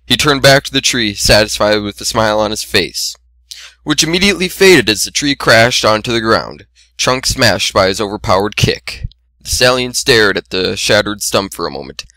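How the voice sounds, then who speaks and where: Guy reading a story dramatically, bright forceful voice, kinda low, dry close sound. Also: no room sound. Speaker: amateur fan fiction reader.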